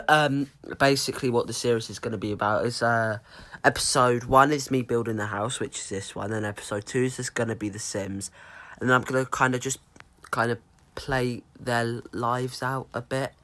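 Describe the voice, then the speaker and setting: A teenage boy with a cold, sounding croaky, rambling casually with lots of ums as he talks over his own building gameplay. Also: mid-range voice, steady tone, normal speed, fairly bright tone. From United Kingdom. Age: teens. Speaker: gaming YouTuber.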